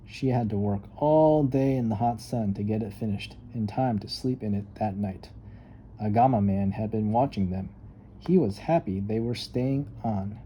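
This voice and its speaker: A man reading a children's story aloud with expressive, emphatic narration. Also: lowish voice, normal speed, slight background noise. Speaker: storybook reader.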